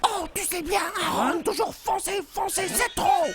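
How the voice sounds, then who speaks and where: Very high, excitable cartoon beaver. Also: a little slow, soft background music, full character voice. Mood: teasing, blunt. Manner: exuberant, repetitive, exaggerated. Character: excitable chatty cartoon beaver, blunt and dramatic. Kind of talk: French children's cartoon voice acting.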